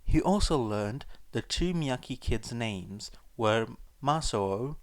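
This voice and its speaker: A man's voice: mid-range voice, animated, slow, no room sound. Casting: male audiobook-style narrator for fiction, mid-range voice, close dry sound. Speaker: podfic narrator. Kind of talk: scripted story narration.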